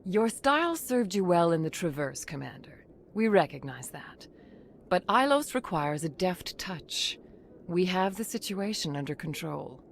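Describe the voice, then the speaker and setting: An actress playing a diplomatic, authoritative female alien councilor in a video game, measured and formal, with music behind her. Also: lowish voice, big pitch swings, gravelly, a little hiss or hum, full character voice. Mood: calm, diplomatic.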